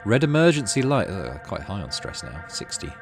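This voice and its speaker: A man's voice: lowish voice, wildly swooping pitch, normal speed, creaky, music in the background. A man hosting a gaming video, reading story text aloud expressively and adding casual, musing commentary.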